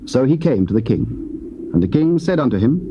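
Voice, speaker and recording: Male narrator, expressive intonation, medium pitch, formal scripture storytelling, muffled noisy cassette. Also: a little fast. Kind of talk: scripted dramatic Bible narration.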